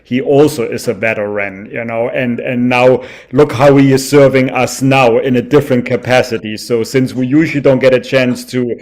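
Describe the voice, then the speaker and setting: A man's voice: mid-range voice, steady tone, normal speed, few pauses, darkish tone. A man whose first language is German, thanking the host on a video call in a grateful, rambling way with many fillers. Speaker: open mic participant. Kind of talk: casual remarks on a video call.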